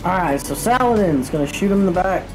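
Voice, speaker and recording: A man's voice: high-pitched, lively intonation, quick pace, darkish tone, faint music behind, low-quality recording. A man playing a tabletop game, talking casually as he announces his moves.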